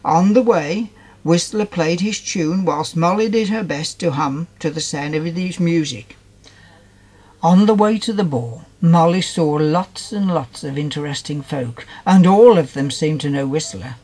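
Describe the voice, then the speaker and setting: A man narrating a children's fairytale in an expressive storytelling manner. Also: on the high side, measured pace.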